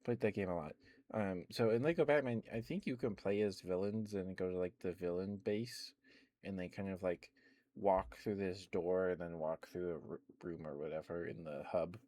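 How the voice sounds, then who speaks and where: A young man with a deep, low voice rambles gently in ASMR fashion, close to the microphone. The sound is dry and intimate, with no room around it. He drifts from thought to thought, padding his speech with soft fillers and little laughs. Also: moderate pace, dry recording. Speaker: ASMR streamer.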